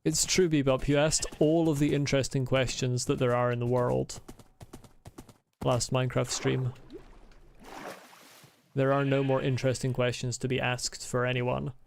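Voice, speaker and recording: A man with a light Scottish accent streams a game, chatting and rambling in a gravelly, fry-edged voice. His pitch sits in an ordinary middle range. He slips into blunt, sweary rants. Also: little pitch movement, normal speed, long pauses, slight background noise. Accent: mild Scottish. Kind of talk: casual live-stream chat while gaming.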